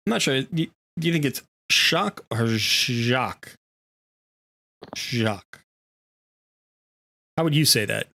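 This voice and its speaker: A man's voice: medium pitch, animated, slow, vocal fry, long pauses, bright, forceful tone. A man hosting a gaming livestream, chatting casually in a jokey, talkative way. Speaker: livestream host.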